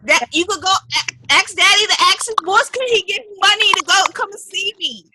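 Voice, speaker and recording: High-voiced woman in her late thirties. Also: moderate pace. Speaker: group call participant. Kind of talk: casual chat. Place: online group video call. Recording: slightly noisy video call, clicks.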